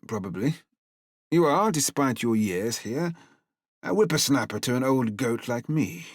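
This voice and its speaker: An actor playing a wry older police detective with formal, old-fashioned phrasing in an audiobook drama. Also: male voice, mid-range voice, lively intonation, unhurried, dry recording, clean studio sound. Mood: wry, scheming. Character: older male police detective, wry, theatrical, outwardly cordial. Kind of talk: full-cast audiobook drama acting.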